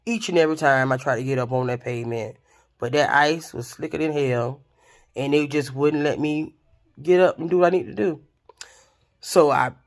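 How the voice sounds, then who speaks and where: A woman, casually telling a story to her viewers. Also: sits low. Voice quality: raspy voice. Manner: chatty, expressive, addresses viewers directly. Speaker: vlogger.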